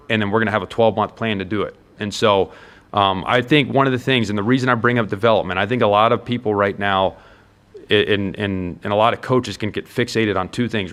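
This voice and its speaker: A man's voice: fairly low pitch, fairly bright tone. An American man, a college football head coach, speaking earnestly and emphatically in a conversational interview, laying out his points one by one.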